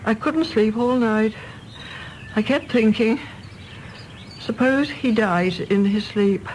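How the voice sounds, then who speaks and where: A woman's voice: a little high, slow, darkish tone, slight background noise, rough recording. An actress playing an anxious, domineering older English mother in a radio drama, querulous and imperious. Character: anxious, domineering, self-pitying mother of a grown son. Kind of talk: British radio drama acting.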